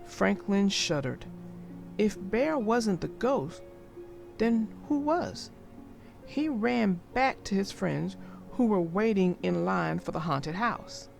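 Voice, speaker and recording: A woman narrating a children's picture book aloud in a gentle, expressive storytelling manner. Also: mid-range voice, slow pace, vocal fry, soft background music.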